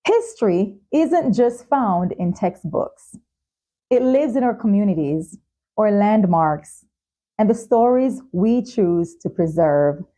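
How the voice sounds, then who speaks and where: A woman hosting a talk show, presenting in a measured, carefully enunciated way. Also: medium pitch, lively intonation, mellow. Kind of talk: scripted show introduction and sponsor reads, interviewing.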